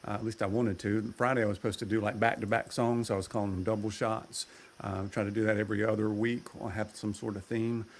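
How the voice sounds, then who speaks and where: A man with a low voice, chatting easygoing as a guest, rambling with fillers. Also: little pitch movement, quick pace. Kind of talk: casual chat.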